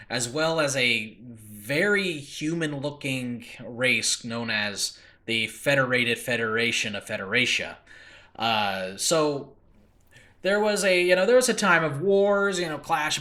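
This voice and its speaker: A man's voice: mid-range voice, sharp tone. An American man hosting a podcast solo, talking informally and rambling with frequent filler asides. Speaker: podcast host and audio drama creator. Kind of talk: casual solo podcast talk. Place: room in a new apartment, makeshift recording space.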